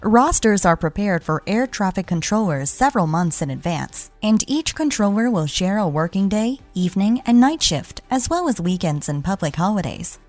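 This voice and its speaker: A woman reading scripted explainer narration in a measured, instructional way. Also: mid-range voice, big pitch swings, a little mellow. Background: background music.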